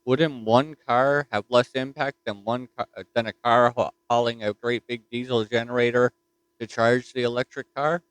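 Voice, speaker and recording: A man's voice: mid-range voice, steady tone, normal speed, clear, resonant tone, no echo, poor audio. A man with a Newfoundland accent chatting casually on a ham radio repeater from his home desk mic, folksy and full of fillers. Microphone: desktop mic.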